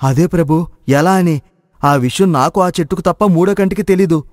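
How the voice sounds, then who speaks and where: A man playing a naive, excitable village cowherd in a moral story, exclaiming and questioning. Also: a little high, moderate pace, no echo, clean studio sound, long reverb tail, close to an everyday voice. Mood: respectful, bewildered. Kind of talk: Telugu moral story voice acting.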